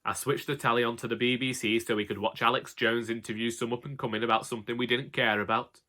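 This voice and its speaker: A man's voice: medium pitch, fast, natural voice. A man voicing a wry, long-suffering elderly husband with a Northern English accent in a colloquial comic audiobook monologue. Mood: wry, droll. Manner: colloquial, wry, deadpan grumbling. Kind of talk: first-person comic monologue, audiobook narration.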